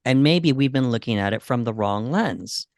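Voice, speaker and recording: A man with a medium-pitched voice talks as a podcast co-host. His delivery is conversational and somewhat halting as he works through his thoughts. The sound is dry, with very little room reflection. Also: lively intonation, dry recording. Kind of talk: casual discussion of games and movies.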